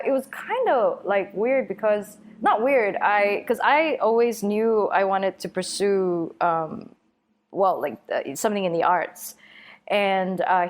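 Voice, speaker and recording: Halting, laughing woman from Singapore. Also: lowish voice. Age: 30s. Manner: rambling, halting, laughs often. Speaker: guest. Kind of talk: casual chat.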